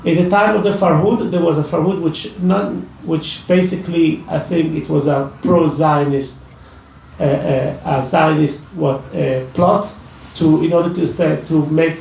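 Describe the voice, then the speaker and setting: Gravelly man with an Iraqi accent, echoey hall. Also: fairly high pitch, a little mellow, a little hiss or hum. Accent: Iraqi Arabic. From Iraq. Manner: halting, many restarts and fillers. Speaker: speaker at a public discussion. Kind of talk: personal testimony at a talk. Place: library event room. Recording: echoey hall, mic from far off.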